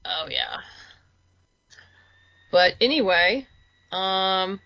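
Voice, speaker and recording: A woman's voice: medium pitch, huge pitch swings, drawn-out words, vocal fry, pushed and bright, no echo, highs cut off. A woman chatting casually as a guest in an interview. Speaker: interview guest, comics creator. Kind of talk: casual chat.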